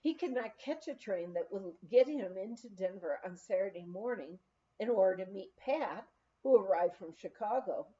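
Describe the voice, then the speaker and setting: A woman reading a memoir aloud as scripted narration, in an expressive storytelling manner. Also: medium pitch, normal speed, highs cut off. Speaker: audiobook reader.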